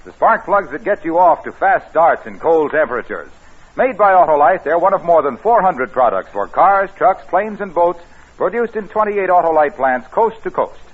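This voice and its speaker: A man announcing in scripted 1940s radio style, delivering sponsor pitches and credits with a husky voice. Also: big pitch swings, normal speed. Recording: very muffled old radio broadcast.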